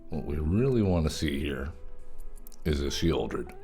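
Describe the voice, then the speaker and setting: Deep, dark-toned male streamer, relaxed chatty game commentary, faint guitar music under it. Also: a little slow, creaky. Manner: easygoing, chatty, thanks viewers. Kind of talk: casual gameplay commentary.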